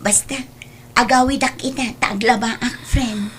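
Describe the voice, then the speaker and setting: A woman acts a considerate young Ilocano friend in an audio drama. Her voice is very high, and her manner is gentle and apologetic. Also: fairly even intonation, measured pace, crowd noise, natural voice. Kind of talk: amateur radio-style Ilocano audio drama.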